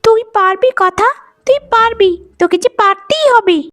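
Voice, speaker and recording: Very shrill, scheming young wife. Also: female voice, moderate pace. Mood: bitter, plotting. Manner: theatrical, whining, scolding. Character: jealous, scheming young wife, twin sister, whiny and spiteful. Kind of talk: melodramatic cartoon voice acting. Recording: loud music bed under the voice.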